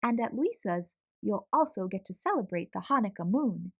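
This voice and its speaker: A kind storybook father speaks gently and calmly, as a woman reads him. The reading stays warm-hearted and simple. The sound is close and dry. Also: mid-range voice, moderate pace, gravelly, no echo. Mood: gentle.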